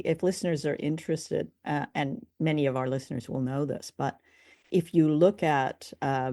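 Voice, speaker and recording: A woman's voice: sits low, dark tone, dry recording, studio quality. A woman co-hosting a radio show, chatting casually and conversationally as she reviews drama.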